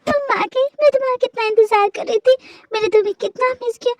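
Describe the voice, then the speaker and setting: A woman voicing a fragile young wife in a drama, pleading in a high, tearful voice. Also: fast, darkish tone, no echo.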